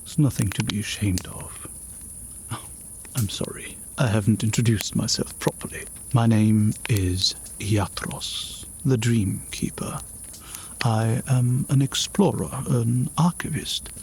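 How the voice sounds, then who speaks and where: A man playing a gentle, absent-minded mage in a fantasy ASMR roleplay, soft-spoken and unhurried, sometimes muttering. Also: lowish voice, creaky, a little hiss or hum. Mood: gentle, reassuring. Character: gentle, absent-minded male mage, hospitable forest dream keeper.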